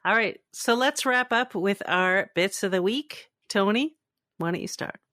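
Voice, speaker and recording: A woman's voice: mid-range voice, unhurried, sharp tone, no room sound, clean studio sound. A woman co-hosting a fan podcast, chatting informally and with enthusiasm. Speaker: podcast co-host. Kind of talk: casual fan podcast chat.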